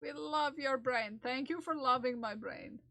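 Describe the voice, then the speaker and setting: A woman's voice: sits high, a little slow, creaky, fairly bright tone, dry recording. Giggly, rambling young woman streamer. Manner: rambling, giggly, slips into accents and sings. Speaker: livestreamer knitting for charity. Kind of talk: casual live-stream chat.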